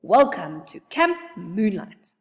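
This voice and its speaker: A woman voicing a very small, grumpy gnome camp director with a gruff, curt way of talking. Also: mid-range voice, big pitch swings, takes it slowly. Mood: officious.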